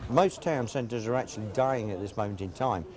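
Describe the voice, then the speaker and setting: A man giving a halting, informal explanation as a spokesman, recorded outdoors in a town. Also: medium pitch, expressive. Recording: noisy outdoor urban ambience.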